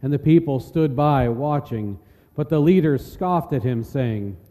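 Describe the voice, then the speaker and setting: A man's voice: medium pitch, unhurried, warm tone. A man narrating a solemn, dramatic scripture reading. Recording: long reverb of a large hall.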